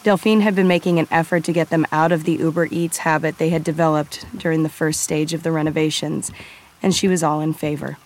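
A woman narrates scripted fiction in a low voice. She reads as an audiobook storyteller, setting scenes and describing characters. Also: little pitch movement, brisk.

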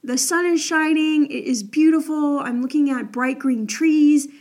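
A woman's voice: high voice, a little slow, darkish tone. A young woman hosting an advice podcast in a chatty, upbeat way, her voice a little crackly from the early morning. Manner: chatty, upbeat, lots of "like" and "all right?". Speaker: podcast host.

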